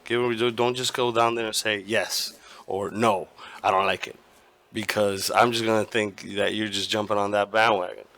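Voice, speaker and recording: A hoarse man hosting a gaming channel, giving his opinion casually, rambling and restarting often and swearing now and then. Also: on the low side, steady tone, normal speed, fairly bright tone. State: hoarse, partly lost voice.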